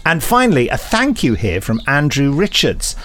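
A man's voice: mid-range voice, wildly swooping pitch, moderate pace, a bit bright, slight background noise. A man co-hosting a podcast, reading listener emails with dry, wry humor. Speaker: podcast co-host.

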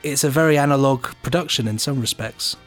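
A man's voice: medium pitch, a little fast, a bit bright, soft background music. A man co-hosting a podcast in casual chat, speaking with effusive enthusiasm. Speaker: podcast co-host, audio editor.

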